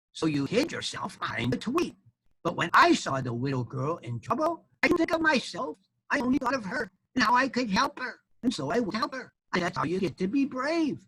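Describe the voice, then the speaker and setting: A man's voice: high-pitched, pushed and bright, husky, no room sound, voice pushed up, exaggerated voice, poor audio. A man voicing a brave, indignant little baby chicken in a children's story, earnest and scolding.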